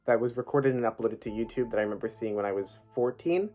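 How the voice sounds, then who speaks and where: A man's voice: medium pitch, quick pace, dark tone. A man talking as a playwright in a casual, keen promotional interview about his own work. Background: music.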